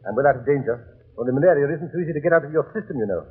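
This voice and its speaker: An actor playing an earnest, shaken young doctor, speaking hesitantly and anxiously in the style of 1930s radio drama. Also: male voice, fairly high pitch, animated, racing, slightly rough. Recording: crackly narrow-band 1930s radio recording.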